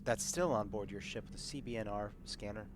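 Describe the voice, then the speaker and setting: A man's voice: fairly low pitch, brisk. A man playing a tabletop game, speaking casually and politely as he searches for his words. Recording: clearly noisy background.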